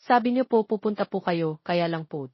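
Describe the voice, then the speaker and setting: A woman's voice: lowish voice, normal speed, no room sound, dull audio, close to an everyday voice. A woman voicing sweet, polite young twin boys, earnest and a little sulky, in an audio romance story. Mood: sulky, sad.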